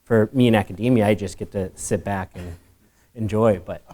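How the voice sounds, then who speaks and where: A man from academia speaking on a panel discussion, relaxed and hedging. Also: lowish voice, moderate pace.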